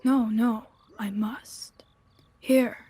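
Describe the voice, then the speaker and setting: A woman playing a timid but insistent stranger begging in a radio play, with halting, stammering speech. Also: fairly high pitch, steady tone, warm tone, husky, exaggerated voice. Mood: grateful, insistent. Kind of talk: radio theatre acting.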